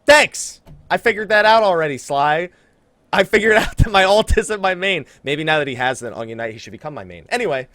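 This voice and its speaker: A man with a slightly high, very expressive voice hosts a casual gaming podcast. He banters and teases in a lively, conversational style, recorded close and dry with no room sound. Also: a little fast, dry recording. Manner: animated, teasing banter with co-host. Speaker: podcast host.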